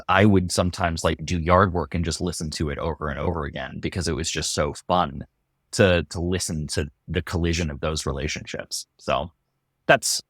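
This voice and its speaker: A man's voice: sits low, fairly bright tone, dry recording, studio quality. A man, an actor in a casual interview, who repeats words as he searches for his phrasing.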